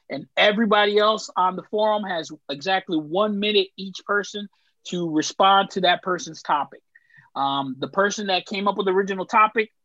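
A man hosting a casual podcast, relaxed and easygoing, with many filler words. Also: high-pitched, no room sound. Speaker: podcast host. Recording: dull, dry lo-fi sound.

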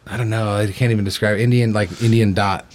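A man with a fairly low voice hosts a loose, casual chat. He rambles along bluntly and peppers his talk with heavy swearing. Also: fairly even intonation, fast. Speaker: podcast host.

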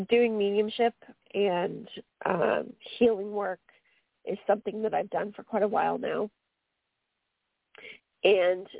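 Gravelly Canadian woman on a phone line. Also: medium pitch, no room sound. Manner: meandering, earnest, frequent fillers. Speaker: radio co-host and medium. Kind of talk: casual radio talk. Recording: dry tinny phone line.

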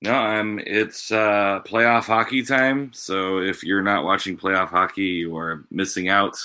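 A man who teaches, co-hosting a casual podcast in an easygoing, halting way. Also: low voice, steady tone, sharp tone.

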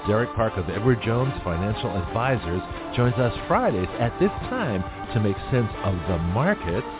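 A man's voice: fairly low pitch, sing-song, moderate pace. A male announcer reading a dramatic, scripted segment intro. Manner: dramatic, promotional delivery. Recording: phone-like sound over loud music.

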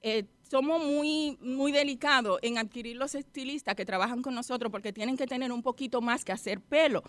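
Middle aged lady being interviewed, highish voice, dry sound, earnest explaining. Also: brisk, dry recording. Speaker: guest, hairstylist and women's organization leader. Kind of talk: live interview answers.

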